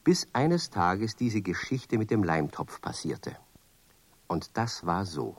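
Animated, close-miked male storyteller. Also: sits low, slow pace, breathy edge, dry recording. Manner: addresses listeners with rhetorical questions, playful emphasis. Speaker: narrator. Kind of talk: storytelling narration for children.

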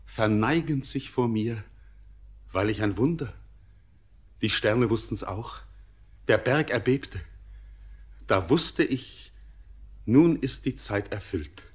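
An actor playing Elis, a young miner who is dreamy and ardent, with a husky voice. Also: male voice, lowish voice. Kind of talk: classic radio play acting.

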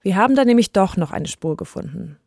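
A woman's voice: medium pitch, expressive, brisk, vocal fry, darkish tone, no echo, clean studio sound. A woman hosting a podcast, telling a scripted story in a conversational narrating style. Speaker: podcast host. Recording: music bed under voice. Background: background music.

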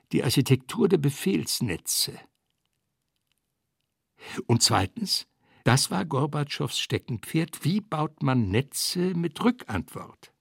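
A man narrating literary prose in a deliberate, emphatic way, breaking sentences into short phrases. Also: mid-range voice, gravelly, slightly rough, dry recording, clean studio sound.

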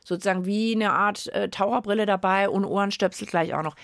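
A woman co-hosting a children's science podcast in a casual, chatty, expressive way. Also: medium pitch, fairly quick, gravelly, dry recording, clean studio sound. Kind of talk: casual conversational presenting.

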